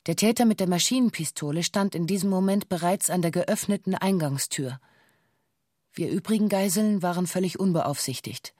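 A slightly low female voice acted for radio gives a hostage's witness statement. She is sober and exact, with formal, official wording. The recording is dry, as in a documentary radio play. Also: moderate pace, mellow, dry recording, natural voice. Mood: sober recounting. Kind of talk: documentary radio drama, reading a police testimony.

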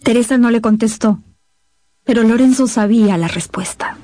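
A woman narrating a radio novel as scripted, suspenseful storytelling in a measured manner. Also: a little high, warm tone, slight background noise.

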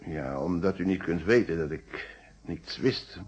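Self-assured businessman, very low husky voice, courteous, logical, precise phrasing, radio play. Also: measured pace, a little mellow, lo-fi sound. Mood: composed, precise. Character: composed, hospitable, logical married businessman being questioned. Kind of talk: Dutch radio play acting.

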